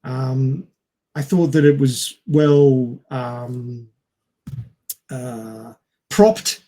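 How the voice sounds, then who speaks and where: A man co-hosting a casual film discussion, speaking in a halting, hesitant way and stretching out words. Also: medium pitch, fairly even intonation, mellow. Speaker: podcast co-host.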